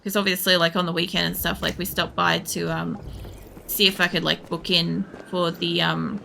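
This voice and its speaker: Female game streamer, gravelly fry, casual and sweary rambling commentary, noticeable background noise. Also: lowish voice, little pitch movement, moderate pace, bright, forceful tone. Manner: sweary, blunt, thinking aloud. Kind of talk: casual live gameplay commentary, rambling chat.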